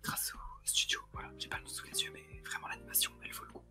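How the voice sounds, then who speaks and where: Hushed, whispering young co-host. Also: extremely high pitch, animated, fast. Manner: informal youth slang. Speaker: co-host of an anime review. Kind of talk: whispered ASMR anime review, casual chat. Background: music.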